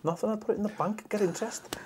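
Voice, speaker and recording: Male panelist, high voice, dry deadpan humour, casual football banter. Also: rapid-fire, crowd noise.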